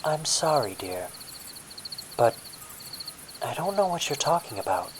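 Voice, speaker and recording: A calm older woman played by a narrator in a horror story. The voice is soft and raised, with lilting, varied pitch and a condescending sweetness, over faint noise. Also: unhurried. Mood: calm, eerie. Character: calm, polite older woman, eerily pleasant cabin owner.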